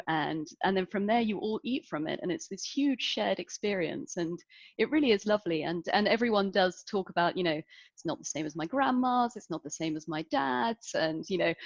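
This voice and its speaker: A woman's voice: on the low side, dry recording, dull audio. A woman panelist who lives abroad, chatting casually in an online panel with lots of fillers. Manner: chatty, many fillers and restarts. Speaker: panelist living in Valencia.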